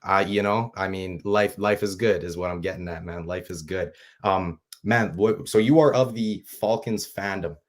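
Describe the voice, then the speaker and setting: Male sports-show host, very deep, raspy from a cold, rambling casual delivery. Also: moderate pace, hardly any pauses. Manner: loose and chatty, many fillers and restarts.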